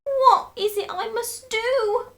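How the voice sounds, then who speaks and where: Woman voicing a shrill, panicky old storybook wife. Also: takes it slowly, a bit bright, full character voice. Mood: desperate. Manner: gasping, crying out in panic. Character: frightened, shrieking, gullible old wife.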